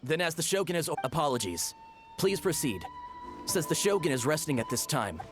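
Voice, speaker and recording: Officious palace guard, highish voice. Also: male voice, big pitch swings, brisk. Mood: deferential. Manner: formal, officious. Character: officious male palace guard, stern then deferential. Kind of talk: English video game voice acting. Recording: game music clearly behind.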